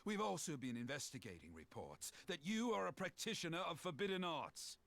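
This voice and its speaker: Stern officer, high gravelly voice, formal and accusing, game cutscene. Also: male voice, very animated, fairly quick, fairly bright tone, heavily acted. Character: stern male officer making an arrest. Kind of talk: video game voice acting.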